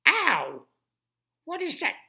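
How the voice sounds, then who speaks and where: A storyteller voicing a whiny, frightened male castle guard in a children's story, protesting in a high, squealing voice. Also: wildly swooping pitch, slow pace, vocal fry, pushed and bright, full character voice. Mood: indignant.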